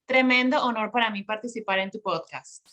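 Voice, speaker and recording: A woman's voice: fairly high pitch, a little fast, pushed and bright, lo-fi sound. A woman from Venezuela with a Venezuelan accent, a podcast guest telling her life story in a chatty way, laughing often and using many fillers. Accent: Venezuelan Spanish.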